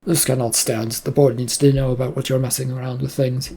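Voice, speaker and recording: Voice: medium pitch, flat delivery, fairly quick, creaky, warm tone, breathy edge. A narrator voicing a stern, accusing male company boss, insistent and blunt, in an audio story.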